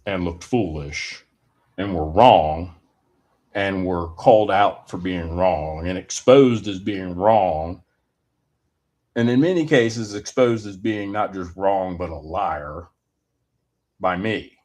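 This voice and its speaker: Man, low voice, very slow pace, deliberate halting delivery, wordy formal phrasing, opinion monologue. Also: darkish tone. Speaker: commentator giving his personal opinion.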